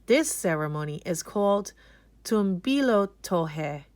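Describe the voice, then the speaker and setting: A woman reading a children's picture book aloud as narrator, in a deliberate, expressive way. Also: on the low side, dry recording. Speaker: storybook reader.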